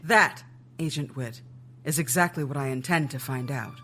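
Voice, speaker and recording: An actor plays a resolute male government agent in an audio drama. His medium-pitched voice is firm, businesslike and guarded, over faint background music. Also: moderate pace, pushed and bright, lightly acted.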